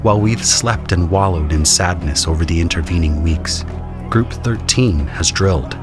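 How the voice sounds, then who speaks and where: Deep-voiced man narrating an audio drama. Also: moderate pace, music in the background. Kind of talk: scripted first-person audio drama narration.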